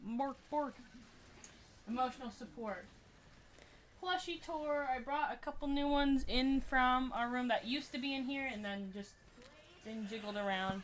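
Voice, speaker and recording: Loud, projecting young woman, chatty. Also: high voice, a little slow, slight background noise, poor audio. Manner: chatty, showing off plushies. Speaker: streamer. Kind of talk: casual chat.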